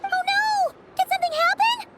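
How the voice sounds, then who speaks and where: A woman voicing a tiny, excitable, childlike game companion with a squeaky, chirpy voice. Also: moderate pace, very noisy. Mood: alarmed. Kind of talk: video game character acting. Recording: music behind the voice.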